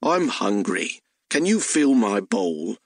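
Man putting on a slow, simple-minded hellhound. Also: fairly low pitch, big pitch swings, slightly rough, no echo, studio quality, full character voice. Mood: earnest, simple. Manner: slow, childlike literal phrasing. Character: male talking hellhound, simple-minded, literal, food-obsessed.

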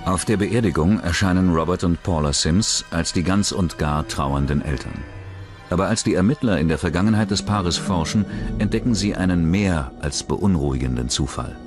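Deep-voiced man narrating true crime over music. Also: moderate pace. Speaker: documentary narrator. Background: background music.

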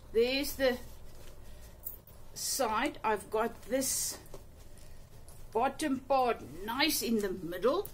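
A woman's voice: high-pitched, slight background noise. A woman in her fifties with an Afrikaans accent, chatting her way through a sewing tutorial in her home sewing room, upbeat and full of little exclamations. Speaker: sewing channel host. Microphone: camera on a table, a few feet off.

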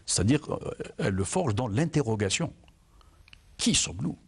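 Older guest, gravelly and husky, reflective, stumbles over repeats, lapel mic in a studio. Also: male voice, mid-range voice, expressive, normal speed, a little mellow. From Algeria. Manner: reflective, repeats words while searching. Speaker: author and jurist, guest. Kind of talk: studio interview. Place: TV studio set, indoors.

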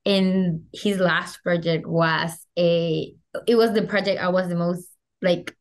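A woman's voice: fairly low pitch, takes it slowly. A young woman from Venezuela with a Venezuelan Spanish accent, chatting animatedly as a podcast guest over a video call, full of "like" fillers. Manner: animated, gestures a lot, many "like" fillers. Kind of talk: casual interview chat. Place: small room at home. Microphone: video call webcam.

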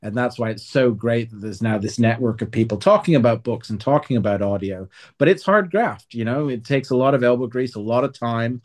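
A man's voice: medium pitch, a little fast, hardly any pauses. A man talking as an author guest in a conversational interview, earnest and wordy with a wry streak.

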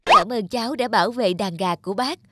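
A woman's voice: fairly high pitch, animated, fairly quick, noisy background, close to an everyday voice. A woman voicing a kindly, grateful mother hen in a children's cartoon. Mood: grateful, regretful. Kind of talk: children's cartoon storytelling dub.

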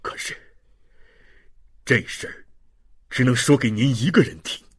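A man voicing a humble, restrained mayor in an audiobook, making a quiet and urgent entreaty. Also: a little slow, breathy edge. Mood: discreet, pleading. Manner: low, hushed, urgent entreaty. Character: humble, restrained male mayor, secretly an ex-convict, pleading quietly.

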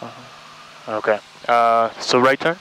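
A man's voice: mid-range voice, takes it slowly, pushed and bright, slight background noise, poor audio. A man training as a pilot, chatting casually over an aviation headset inside a small plane. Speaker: student pilot. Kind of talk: casual cockpit chat. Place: inside small aircraft in flight. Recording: cockpit intercom with engine drone.

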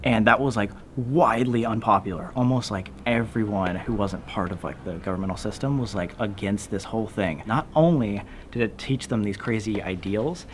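Excitable young man exploring at night. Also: lowish voice, moderate pace, hardly any pauses, a little hiss or hum. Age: young adult. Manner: excitable, swears a lot, drops to whispers. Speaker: host. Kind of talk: casual vlog chat. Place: outdoors at night in a jungle ruin.

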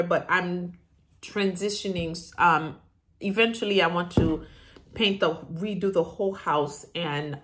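Female YouTuber, middle-aged, Haitian accent with a Southern US tinge. Low pitch, slow and hesitant, casual Q&A chat. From Haiti, moved to the US as a child and grew up in Florida. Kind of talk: casual chat, answering viewer questions. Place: room in a house, indoors.

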